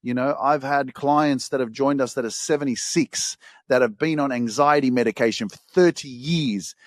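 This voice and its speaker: A man's voice: mid-range voice, normal speed, no echo, studio quality. A middle-aged man giving a loose, conversational motivational talk as a podcast host, full of fillers and swearing for emphasis.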